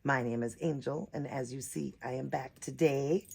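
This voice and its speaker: A woman with a naturally raspy voice, a bit hoarse from a cold, chatting casually as she hosts a doll unboxing vlog. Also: a bass voice, steady tone, normal speed, background noise. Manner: chatty, rambling, many fillers. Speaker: reborn doll channel host.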